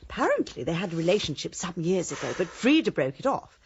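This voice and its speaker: A kindly English village gossip. Her raspy, creaky voice swoops about as she confides in radio drama style. The sound is slightly muffled. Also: fairly low pitch, big pitch swings, quick pace, full character voice. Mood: confiding, cheerful. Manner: confiding, chatty. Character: gossipy, kindly village woman, gently fussy. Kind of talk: British radio drama acting.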